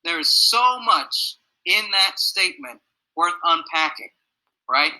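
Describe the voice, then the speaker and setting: A young male teacher speaks slowly and thoughtfully to his students over a video call, pausing often and asking what they think. His voice is slightly raised in pitch and very bright, with a gruff edge. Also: expressive, rough recording. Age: young adult. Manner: earnest, reflective, asks students questions. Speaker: middle school teacher. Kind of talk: classroom discussion on a video call, personal story.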